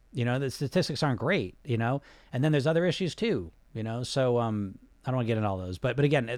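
A man's voice: mid-range voice, darkish tone, dry recording. A man hosting a podcast as a weight-loss coach, talking in a casual, emphatic way with lots of "you know" fillers. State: sniffling. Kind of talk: casual monologue.

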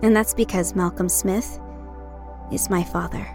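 A woman's voice: mid-range voice, steady tone, measured pace, darkish tone, faint music behind, close to an everyday voice. An actress playing a headstrong, flippant American film student in her early twenties in an audio drama. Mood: solemn, measured. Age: early 20s. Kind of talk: audio drama acting, documentary narration and casual banter.

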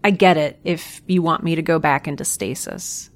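A woman playing an earnest, defensive scientist in an audio drama, talking in a sincere, halting, wry way. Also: deep voice. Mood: wry, resigned. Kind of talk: audio drama acting, conversational.

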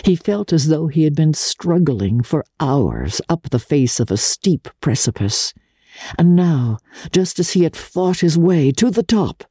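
A woman narrating a classic novel in a measured, deliberate and expressive way, with a low voice. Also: slightly rough, no room sound, studio quality. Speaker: audiobook narrator. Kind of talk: dramatic literary reading.

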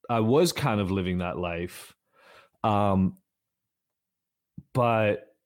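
A man in his forties from Israel, talking candidly and rambling in a casual conversation, swearing freely. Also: lowish voice. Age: 40s.

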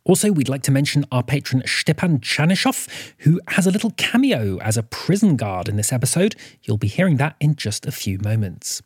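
A man's voice: medium pitch, very animated, fairly quick, husky, no room sound, clean studio sound. A man hosting an audio fiction podcast, reading a scripted announcement to his listeners. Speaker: podcast host introducing episodes.

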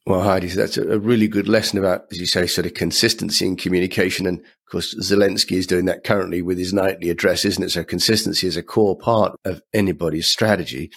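A man's voice: low voice, steady tone, fast talker, few pauses, a bit bright, breathy edge. A man hosting an interview podcast, friendly and conversational, with fillers like "you know". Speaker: podcast host.

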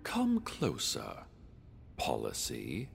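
A man playing an imperious, elderly, gravel-voiced chairman of a corporate board in an audio drama, slow and commanding. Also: a bass voice, huge pitch swings, long reverb tail, exaggerated voice.

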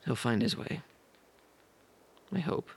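A man playing a gentle, self-doubting young misfit in an audio drama, earnest, hesitant and apologetic. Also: takes it slowly, lightly acted. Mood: resigned.

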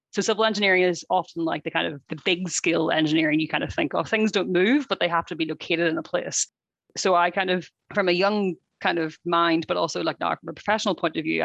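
A woman's voice: on the low side, gravelly, a bit bright, dry recording. A woman from Ireland, a civil engineer, chatting enthusiastically as a podcast guest with lots of filler words. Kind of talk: casual chat.

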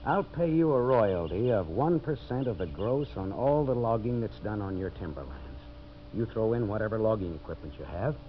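An actor playing a brash, greedy American lumber boss, pushy and wheedling, in 1950s radio drama style. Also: male voice, on the low side, lively intonation, normal speed, close to an everyday voice. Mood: pushy, impatient. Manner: pushy, wheedling, blunt salesman's talk. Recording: muffled, noisy 1950s radio recording.

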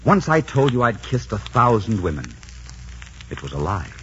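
A cocky, roguish pirate lover with a bright, forceful voice. His delivery is theatrical and teasing, swinging widely in pitch. It sounds like a dull 1940s radio broadcast. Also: sing-song, moderate pace, slight background noise. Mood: amorous teasing. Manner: theatrical, flirtatious. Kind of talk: 1940s radio romance acting. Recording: lo-fi 1940s radio, music behind.

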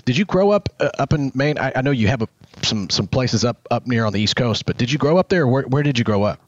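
A man's voice: medium pitch, animated, quick pace, no room sound, lo-fi sound. A man hosting an interview podcast, talking casually and rambling, often repeating words and adding folksy asides. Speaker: podcast host.

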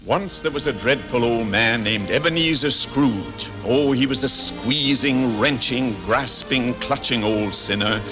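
A man's voice: medium pitch, measured pace, few pauses, over loud music, natural voice. Vintage radio play narrator male actor: dramatic, relishing storyteller, mid-range voice, musical backing. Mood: dramatic, storytelling. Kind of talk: 1940s radio acting, scripted narration.

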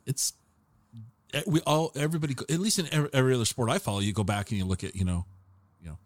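An American man hosting a casual podcast interview in an informal, somewhat halting conversational way. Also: mid-range voice, no echo. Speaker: podcast host.

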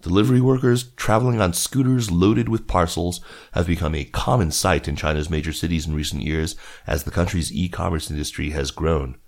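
Low-voiced man reading business news. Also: fairly even intonation, a little fast. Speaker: news reader. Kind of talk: scripted narration of business news stories.

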